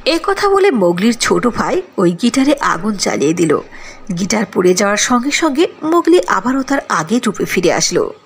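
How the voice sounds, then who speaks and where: A woman's voice: mid-range voice, sing-song, normal speed, creaky, slight background noise. A woman narrating a cartoon fairy tale in a sing-song storytelling manner.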